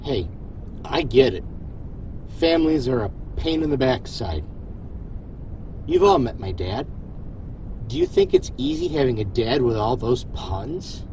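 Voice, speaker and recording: A man's voice: medium pitch, wildly swooping pitch, unhurried, creaky, pauses often, a little mellow, a little hiss or hum, full character voice, rough recording. A man playing a supportive, self-deprecating starship captain in an audio drama, earnest and folksy. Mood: wry. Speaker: voice actor.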